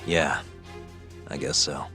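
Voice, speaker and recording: A man's voice: takes it slowly, music in the background, natural voice. A man voicing a wry, cool-headed detective and former lawyer in an English game dub. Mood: reluctant.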